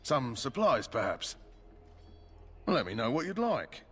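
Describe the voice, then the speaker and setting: A brisk army quartermaster. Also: male voice, mid-range voice, sing-song, fairly bright tone, breathy edge, full character voice. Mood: businesslike. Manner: brisk, offering wares. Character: gruff male army quartermaster. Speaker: game merchant.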